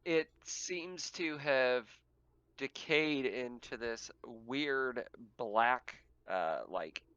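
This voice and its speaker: A man narrating a tabletop roleplaying game as game master, describing scenes in a casual, halting way. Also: medium pitch, fairly bright tone, smooth, no room sound, lo-fi sound.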